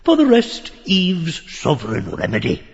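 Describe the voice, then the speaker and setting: Grotesque, swooping, croaky old pedant. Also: male voice, fairly high pitch, wildly swooping pitch, measured pace, darkish tone, in a large hall, dull audio, full character voice. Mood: sly, mocking. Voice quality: nasal, croaking, gabbling. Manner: pompous learned lecturing, full of crowing outbursts. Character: grotesque pedantic old man, parrot-like, leering and excitable. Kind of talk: theatrical audiobook acting of a surreal stage play.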